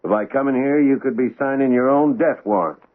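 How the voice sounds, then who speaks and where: An actor playing a cynical police lieutenant who presses hard with skeptical questions. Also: male voice, mid-range voice, brisk, natural voice. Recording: tinny old radio broadcast.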